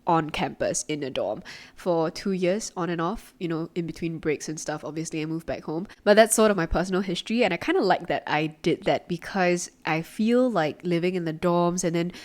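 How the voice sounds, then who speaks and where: A young Singaporean woman in her twenties talks through her solo podcast from her own apartment, casual and chatty. Her voice sits a little low for a woman, and she fills her sentences with "like" and quick asides. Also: darkish tone. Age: 20s. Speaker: podcast host.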